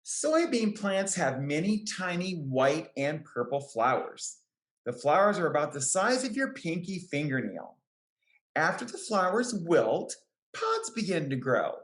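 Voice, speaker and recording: Low-voiced woman reading slowly, roomy echo. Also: sing-song. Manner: careful, deliberate phrasing with short explanatory asides to children. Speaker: children's book reader, extension educator. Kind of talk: picture book read-aloud for young children. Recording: roomy, echoing sound.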